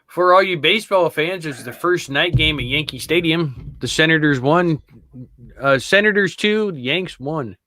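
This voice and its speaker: A man's voice: a little high, lively intonation, measured pace, sharp tone, dry recording. A man co-hosting a podcast in casual panel chat. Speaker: podcast co-host.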